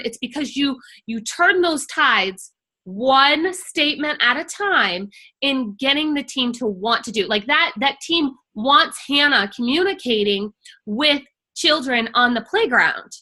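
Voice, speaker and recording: A middle-aged woman speaking with a bright, forceful edge and a slightly high pitch. She talks passionately and emphatically, as if advising parents in a relaxed workshop chat. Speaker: IEP coach and workshop host. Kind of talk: casual webinar conversation.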